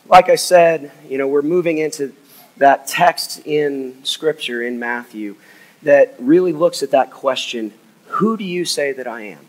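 A man of middle pitch preaches to his church as its assistant pastor. His voice is a little hoarse from a cold. He talks earnestly and warmly to the congregation. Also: poor audio. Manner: earnest, drops to a hushed, soft voice in prayer. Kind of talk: sermon and prayer. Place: church sanctuary.

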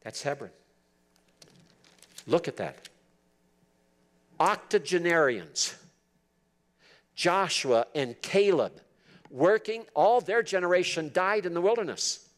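A man's voice: sits high, lively intonation, slow, pauses often. A man in his seventies giving a Bible teaching, reading verses aloud and explaining them earnestly. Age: 70s. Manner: earnest, switches between reading aloud and commentary. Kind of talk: sermon, reading and explaining scripture.

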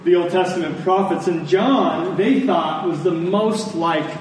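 An American man, middle-aged, preaching a sermon in a conversational way, with short deliberate phrases and rhetorical questions. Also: high-pitched. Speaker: pastor preaching. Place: large church meeting room with congregation. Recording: echoey hall, distant mic, faint noise.

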